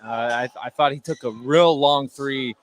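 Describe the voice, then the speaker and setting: A man's voice: medium pitch, measured pace. Hesitant male color commentator, noisy gym. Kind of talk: informal live sports analysis.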